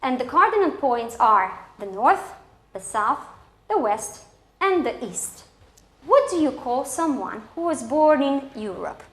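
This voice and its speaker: High-pitched Romanian-accented woman teaching English, echoey. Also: big pitch swings, a little slow, fairly bright tone. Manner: didactic, enunciates example sentences. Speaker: English teacher presenting a televised lesson. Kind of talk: scripted lesson for schoolchildren. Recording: echoey, roomy sound.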